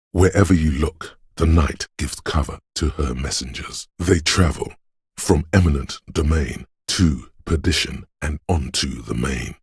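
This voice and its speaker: Game narrator: ominous, measured voice of a brooding city, bottomless bass, heavy vocal fry, atmospheric second-person delivery. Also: male voice, no room sound, clean studio sound, full character voice. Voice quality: gravelly, husky. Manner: measured, hushed, poetic and solemn.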